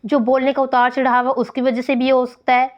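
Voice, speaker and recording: An Indian woman teaching English grammar for exam prep. She reads English text slowly and explains it in quick Hindi, switching between the two languages. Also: sits high, level tone, muffled recording.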